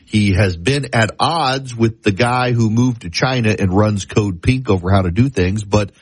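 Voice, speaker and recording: A man's voice: lowish voice, normal speed, slightly rough, no room sound. A man hosting a talk radio show, chatting casually through a stuffy head cold, often restarting his sentences. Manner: rambling, self-interrupting with restarts.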